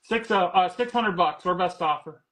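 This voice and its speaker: A man with a high, bright voice runs a relaxed live comic sale and reels off grades and prices in a loose, easygoing way. He laughs easily. A faint hiss sits under the recording. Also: normal speed. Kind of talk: casual live sales chat.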